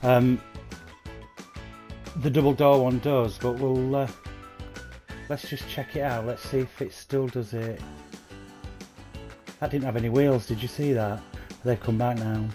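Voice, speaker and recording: A man's voice: mid-range voice, fairly even intonation, measured pace, long pauses, dark tone, faint music behind. A man chatting enthusiastically to viewers by name as he streams a bus game, speaking into a headset mic. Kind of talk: casual livestream commentary. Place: at home playing on a console. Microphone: new gaming headset.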